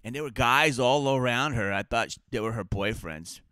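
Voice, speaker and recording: A man's voice: medium pitch, animated, normal speed, bright, forceful tone, dry recording, clean studio sound. A man with an Armenian accent co-hosting a comedy podcast, chatting casually in a rambling, mock-boastful way. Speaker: comic and podcast co-host.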